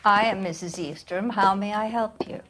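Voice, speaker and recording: A woman's voice: medium pitch, normal speed, background noise, close to an everyday voice. An actress playing a polite, composed well-to-do lady of the house in a 1940s crime video game, formal and courteous.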